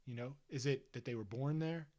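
A man's voice: medium pitch, a little fast, mellow. A man from the Philadelphia suburbs co-hosting a casual book-club chat, thinking aloud in a rambling way with heavy use of "like". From Delaware County, Pennsylvania. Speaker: podcast co-host.

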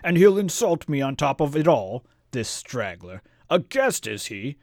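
A man's voice: mid-range voice, expressive, normal speed, no room sound, clean studio sound, close to an everyday voice. A man voicing a grumpy, blustering beast-man lord with theatrical indignation, reading from a fantasy storybook. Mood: indignant, blustering. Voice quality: raspy growl.

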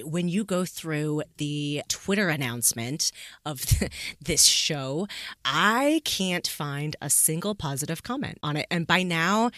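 A woman's voice: low voice, bright, forceful tone, dry recording, studio quality. A woman hosting a podcast in a conversational, emphatic way, often checking in with rhetorical questions. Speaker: podcast host.

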